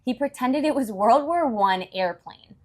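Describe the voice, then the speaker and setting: Animated, high-voiced woman reading to children. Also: a little slow. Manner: encouraging, explanatory asides to child listeners. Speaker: children's story reader. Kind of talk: animated read-aloud for young children.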